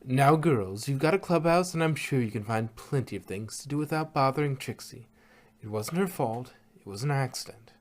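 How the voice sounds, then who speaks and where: A man's voice: medium pitch, lively intonation, normal speed, lightly acted. A man voicing a refined, apologetic female pony, a caring older sister who speaks politely and carefully. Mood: stern.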